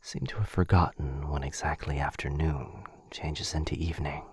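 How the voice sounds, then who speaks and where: A man playing a gentle, protective vampire gentleman, breathy and soothing, in a close-miked boyfriend ASMR roleplay. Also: extremely low pitch, fairly even intonation, normal speed, no room sound, heavily acted. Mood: gentle, apologetic. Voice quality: breathy, husky, whispery. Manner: soothing, apologetic. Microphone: close mic, dry.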